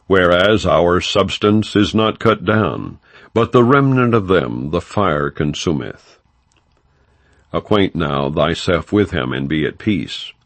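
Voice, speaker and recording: A man with a low voice reads scripture as an audiobook narrator. His delivery is solemn, formal and unhurried. The recording is close and dry. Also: no echo.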